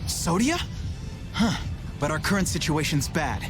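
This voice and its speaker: A man playing a dutiful, earnest young knight commander in an anime game dub, crisp and commanding, with music behind. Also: a little high, very animated, unhurried. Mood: serious resolve.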